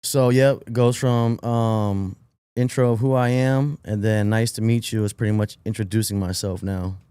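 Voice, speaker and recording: Mellow low-voiced man in his forties. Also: steady tone, moderate pace, clean tone, no echo, studio quality. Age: 40s. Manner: laid-back, many "like" and "you know" fillers. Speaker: guest hip hop artist. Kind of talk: casual interview chat. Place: podcast studio.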